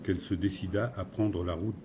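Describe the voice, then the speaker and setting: A man reading literary fiction as a narrator, in a measured storytelling manner. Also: deep voice, fairly even intonation, crowd noise.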